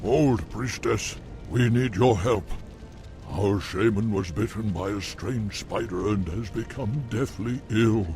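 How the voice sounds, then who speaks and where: A man's voice: fairly low pitch, takes it slowly, a little mellow. An actor playing a worried male tribesman pleading for help in a game cutscene. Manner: pleading, earnest. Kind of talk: video game cutscene acting. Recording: game audio with music underneath.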